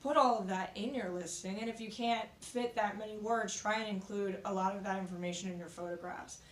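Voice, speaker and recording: Slightly raspy woman giving reselling tips. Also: medium pitch, steady tone, fairly quick. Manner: frank, practical, conversational with fillers. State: slightly raspy, recovering from illness. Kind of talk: casual tips vlog. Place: at home. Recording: echoey room with faint noise.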